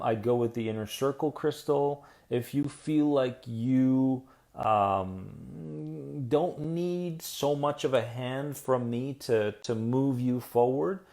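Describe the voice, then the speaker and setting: A man hosting a live stream as an anxiety coach, upbeat and encouraging as he answers viewer comments, then slow and soothing in a guided visualization. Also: mid-range voice, warm tone. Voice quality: soothing radio-style voice.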